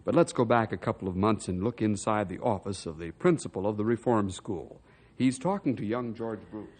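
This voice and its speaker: A stirring male narrator for a western radio serial. His delivery is declamatory and emphatic, swinging widely in pitch, with a slightly husky grain. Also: expressive, brisk, a little mellow. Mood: grave. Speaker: narrator and announcer. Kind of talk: dramatic old-time radio announcing.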